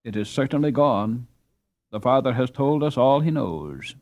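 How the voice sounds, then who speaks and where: A male reader voicing an earnest, gentle peasant boy in a storybook reading. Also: mid-range voice, very animated, moderate pace. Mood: resigned. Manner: earnest, solemn.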